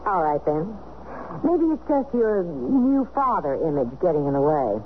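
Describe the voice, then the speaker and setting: A woman's voice: deep voice, sing-song, unhurried. An actress playing a bold, knowing single woman who flirts and needles, in 1970s radio drama style. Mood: needling. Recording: muffled, noisy old radio broadcast recording.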